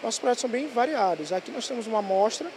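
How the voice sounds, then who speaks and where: 30s teacher guy interviewed in a school workshop, warmish voice, bit of background hum. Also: very high voice, expressive, rapid-fire. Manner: proud and explanatory. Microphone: handheld reporter mic.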